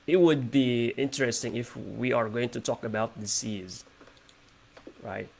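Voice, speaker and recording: Gravelly man hosting an English chat. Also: mid-range voice. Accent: Indonesian. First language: Indonesian. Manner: hesitant, many fillers and restarts. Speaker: English conversation host. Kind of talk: casual online conversation practice. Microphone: video call.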